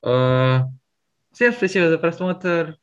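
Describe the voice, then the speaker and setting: A man's voice: on the high side, takes it slowly. A man co-hosting a podcast, asking questions in casual chat and mixing in English words. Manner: mixes English words into his speech. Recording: dull, lo-fi sound.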